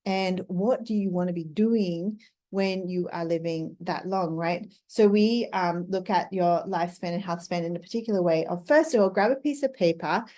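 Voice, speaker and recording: A woman's voice: lowish voice, normal speed, dark tone. Chatty woman turning fifty. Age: 50s. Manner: chatty, explains step by step, often adds "right?". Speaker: Ayurveda coach. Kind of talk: casual talk to camera.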